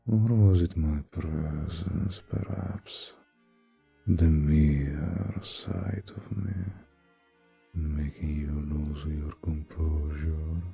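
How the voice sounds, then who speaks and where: A man voicing an imposing, charming male vampire in an intimate roleplay audio, suave, courtly and flirtatious, with a deep, gravelly, husky voice. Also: fairly even intonation, muffled recording, soft background music, heavily acted. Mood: teasing, probing. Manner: suave, courtly, teasing, drawn-out formal phrasing.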